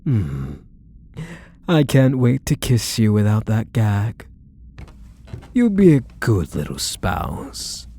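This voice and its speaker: Casting: male actor for doting yet controlling yandere kidnapper, intimate ASMR roleplay, soft endearments, dark mellow tone, unhurried. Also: fairly low pitch, huge pitch swings, heavily acted. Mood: tender, controlling. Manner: soft, coaxing, condescending pet-name endearments.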